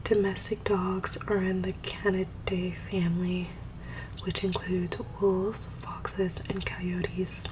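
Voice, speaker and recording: A woman whispering soft-spoken ASMR at home, with low tones and a slight throat scratch, talking quickly and stumbling over hard words. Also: flat delivery, distant mic, noisy background. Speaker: ASMR creator.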